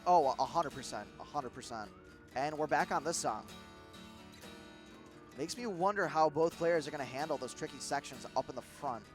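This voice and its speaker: A man's voice: fairly high pitch, moderate pace. A young man with a Midwestern accent, commentating a live gaming tournament in a rambling way, with fillers and restarts. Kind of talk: live esports play-by-play commentary. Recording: guitar game music underneath.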